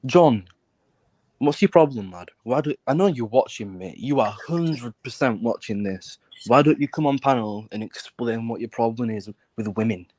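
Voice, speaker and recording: A man's voice: mid-range voice, expressive, moderate pace, darkish tone, dry recording. A British man in casual conversation, talking in a blunt, insistent way and swearing freely. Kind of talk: casual chat.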